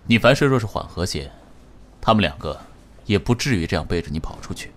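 Very deep-voiced, composed chairman played by an actor. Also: sing-song, brisk. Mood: calm, dry. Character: composed, calculating, wealthy company chairman. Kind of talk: Chinese radio drama acting.

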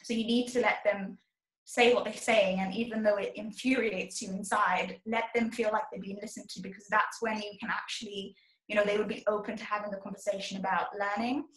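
A woman's voice: medium pitch, little pitch movement, brisk, roomy sound, rough recording. A young woman from Zimbabwe speaking earnestly as a panelist on a video call, with frequent restarts. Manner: earnest, thoughtful, many restarts.

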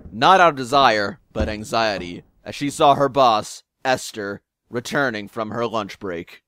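A man's voice: fairly low pitch, a little slow, bright, forceful tone, slight background noise. A man narrating a scripted audio drama in a wry storytelling manner. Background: music.

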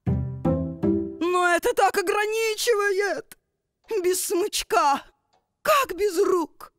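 A woman's voice: very high-pitched, drawn-out words, fairly bright tone, dry recording, clean studio sound, faint music behind, heavily acted. A woman voicing a sulky, delicate cello wife in a cartoon, melodramatic and plaintive. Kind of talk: animated cartoon voice acting.

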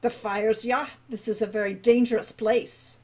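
A woman's voice: on the high side, fairly even intonation, moderate pace, a little mellow, husky. A woman voicing a peevish, rambling old male dwarf guildmaster who stammers as he talks.

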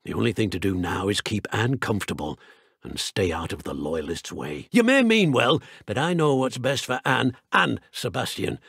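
A gravelly, husky older British wizard from a video game. He is a strict guardian uncle with a short temper, curt and scolding, and his pitch moves widely as he talks. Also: very animated, moderate pace, a bit bright, no room sound, clean studio sound, full character voice. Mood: firm, disapproving.

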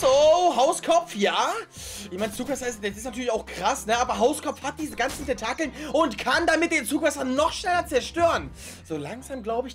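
High-pitched, exclamatory gaming commentator. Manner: exclamatory, talks to the viewers. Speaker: gaming video creator and host. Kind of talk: excited gameplay commentary.

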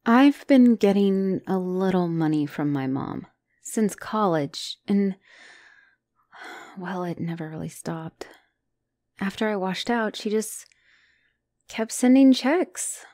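A woman's voice: mid-range voice, animated, slow pace, pauses often, mellow, no echo, studio quality. A woman playing a loving, anxious spider-woman fiancée in an ASMR roleplay, speaking tenderly and hesitantly. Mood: hesitant confession. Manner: tender, hesitant, often dropping to whisper.

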